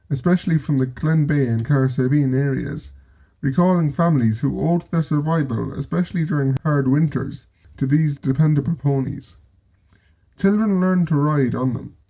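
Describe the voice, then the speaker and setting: A man with an Irish accent narrating a scripted history piece in a measured storytelling way, dropping in wry asides. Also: a little high, gravelly, dark tone, rough recording. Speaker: presenter.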